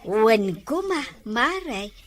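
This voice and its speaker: A woman's voice: a little high, big pitch swings, extremely slow, full character voice. A woman with an Ilocano accent playing a village woman who owes money, chatting with her neighbor in easygoing banter in a comic drama. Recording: loud background music. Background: loud music.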